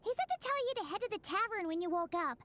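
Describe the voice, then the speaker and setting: A woman voicing a tiny, chirpy, chatty fairy companion in a video game, with a squeaky childlike voice. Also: expressive, fast talker, fairly bright tone. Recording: game music behind the voice.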